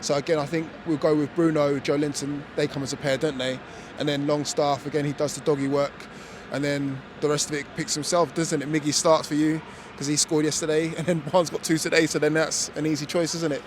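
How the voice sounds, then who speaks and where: A man's voice: on the high side, flat delivery, brisk. A man giving chatty football opinions outdoors, full of fillers and tag questions. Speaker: fan pundit. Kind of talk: casual football chat. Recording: outdoor traffic noise.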